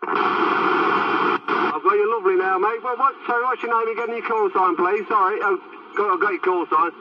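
A man with a high voice chats casually over CB radio. The sound is dull. There is a little noise behind him. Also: fairly even intonation, normal speed. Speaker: radio operator.

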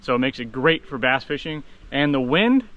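A man's voice: mid-range voice, lively intonation, normal speed, dry recording, muffled recording. An American man in his thirties chatting casually to camera as a fishing vlogger, with laid-back, slangy bro talk and some swearing. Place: outdoors on a kayak on open water. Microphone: action camera close by. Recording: outdoor wind and boat noise.

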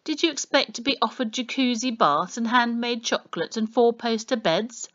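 A woman's voice: a little high, expressive, normal speed, fairly bright tone, dull audio, voice pushed up. A woman voicing a pompous, menacing male policeman in a storybook, mock-polite, sarcastic and snapping. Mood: scornful.

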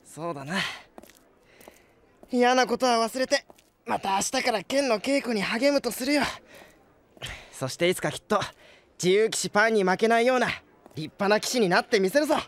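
An actor playing an earnest, hot-blooded young man who trains to be a knight in a Japanese audio drama, excitable in manner. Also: sits high, moderate pace, long pauses, no echo. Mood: earnest, downcast.

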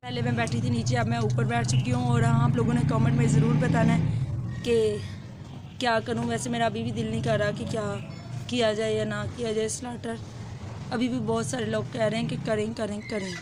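Young woman, voice kinda hoarse, chatty vlog on her phone outside, noisy yard behind her. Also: on the high side, little pitch movement, moderate pace. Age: young adult. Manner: chatty, addresses viewers directly, mixes in English words. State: hoarse throat. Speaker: vlogger. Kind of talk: casual vlog chat to camera. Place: outdoors in a rural courtyard with goats. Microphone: phone held at arm's length. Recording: noisy outdoor phone recording.

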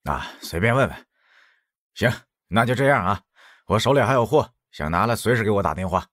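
Man playing a low-voiced sly middle-aged dealer. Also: animated, brisk, a bit bright, no echo, studio quality. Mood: amused, casual. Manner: casual, ingratiating. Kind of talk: audiobook drama acting.

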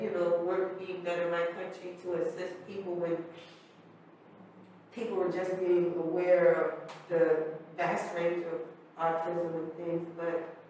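Woman from Turkey, earnest reflective storyteller, flat even delivery, recorded from afar in a roomy gallery. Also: fairly low pitch, slight background noise. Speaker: audience member sharing a story. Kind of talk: personal storytelling. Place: art gallery, live audience. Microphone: far camera mic. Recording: echoey gallery from far off.

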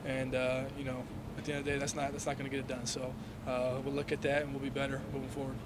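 A young man, a college quarterback, answering reporters' questions after a game, measured and full of "you know"s, into handheld mics indoors. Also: mid-range voice, completely flat delivery, heavy background noise. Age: young adult. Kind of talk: post-game press interview. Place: indoor hallway before a sponsor backdrop. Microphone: handheld reporter mics and phones held toward him.

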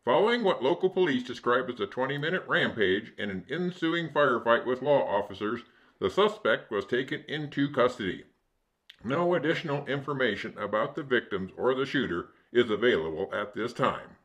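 Man as serious mid-pitched old-time radio newsman. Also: expressive, moderate pace, a bit bright, lightly acted. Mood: grave. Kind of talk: scripted news bulletin narration.

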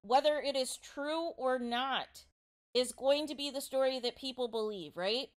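A woman's voice: sits high, measured pace. A woman hosting informal gossip commentary, speaking emphatically and repetitively, often addressing her listeners directly. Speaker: online commentary show host.

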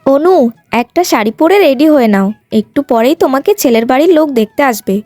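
A patient young village sister-in-law, played by a woman in a cartoon story. Her high voice is polite and coaxing, recorded dry with music behind. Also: expressive, fairly quick, mellow, no echo. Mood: gently instructing. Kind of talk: cartoon moral-tale voice acting.

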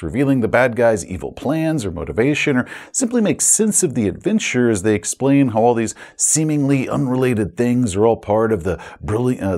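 An American man in his forties hosts a scripted explainer in an emphatic way. His pitch swings widely, and his voice is husky with a gravelly edge. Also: a little mellow. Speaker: host, game master. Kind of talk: scripted video essay to camera. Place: small home room with curtains and bookshelves.

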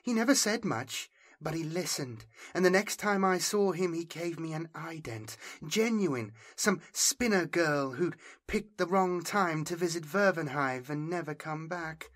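A man's voice: fairly high pitch, expressive, a little slow, hardly any pauses, a bit bright, pitched above the performer's own voice. A man voicing a distressed, defiant young woman refugee in an audiobook, speaking urgently in clipped phrases. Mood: bitter, confiding.

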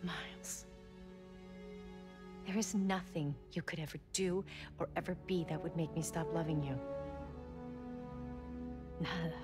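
A devoted mother speaks earnestly, slipping into Spanish phrases. Her voice sits a little low. Music plays clearly behind her. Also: steady tone, unhurried, long pauses. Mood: tender. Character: protective loving mother of a teen hero.

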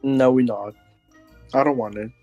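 A man's voice: medium pitch, fairly even intonation, moderate pace, dark tone, lo-fi sound, lightly acted. A man playing a blunt, sulky household kid who argues and complains in a casual online game roleplay. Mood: defiant. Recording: music behind the voice.

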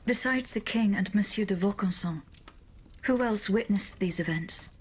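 An actress playing a loyal, reserved servant of a queen in a video game, speaking in a formal, measured, terse way. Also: medium pitch, husky, natural voice. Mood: serious, probing. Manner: measured, terse, solemn questioning. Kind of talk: dramatic video game dialogue.

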